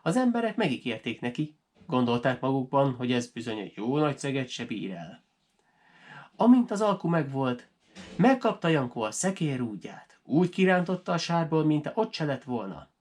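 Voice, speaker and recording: A man narrating a folk tale as a storyteller, in an animated, dramatic way for language learners. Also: mid-range voice.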